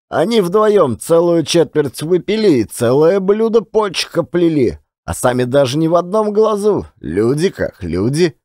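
Audiobook drama role: male actor as folksy old ex-marker spinning yarns, animated melody, dry sound. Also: a little high, no room sound. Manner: colloquial, unhurried storytelling with rustic turns of phrase. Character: old former billiard marker, a folksy storyteller reminiscing. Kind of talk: one-man audiobook drama.

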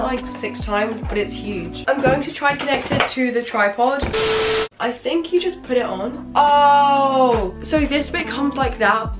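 A teenage girl with a croaky voice from a cold, chatting excitedly through an unboxing vlog in her bedroom, filmed on a camera mic. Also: fairly high pitch. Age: teens. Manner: chatty and excitable, lots of "like" and "literally". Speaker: YouTuber. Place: bedroom, indoors.

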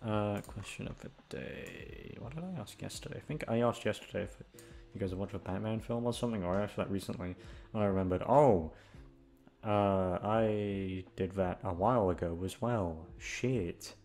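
A man's voice: lowish voice, normal speed, a little mellow, faint music behind. A young man chatting off the cuff to his listeners, rambling and hesitant, with casual swearing. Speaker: ASMR audio creator, voice actor.

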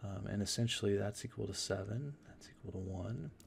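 Male tutorial instructor, slightly deep with noticeable fry, casual and unscripted, halting delivery, light background noise with keyboard clicks. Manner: casual, halting step-by-step explaining. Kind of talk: informal unscripted screencast tutorial, thinking aloud. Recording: noisy room with keyboard clicks. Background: typing and mouse clicks.

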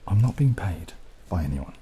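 Very deep, soft-spoken young man. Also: sing-song. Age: young adult. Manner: soft-spoken, hesitant, unhurried. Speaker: ASMR video creator. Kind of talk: casual ASMR, partly whispered monologue. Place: small dim indoor room. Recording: clearly audible background noise, added rain.

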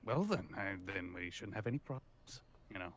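A man's voice: lowish voice, sing-song, normal speed. A man improvising casual dialogue in a game roleplay.

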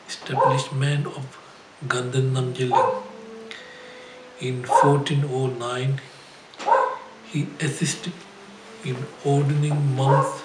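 Older man, halting read-aloud, slow pace, frequent pauses, roomy echo, background noise. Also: medium pitch. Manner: halting, with pauses and throat clearing. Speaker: Buddhist teacher and spiritual director. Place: indoor shrine room, seated at a desk. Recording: echoey room, clear background noise.

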